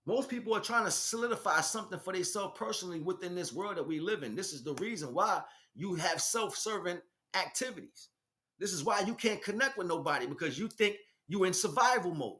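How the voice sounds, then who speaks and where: A man's voice: sits high, animated, brisk, pushed and bright. A man giving an impassioned, informal spiritual lecture in a blunt, emphatic street vernacular, often asking rhetorical questions. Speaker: spiritual teacher, speaker.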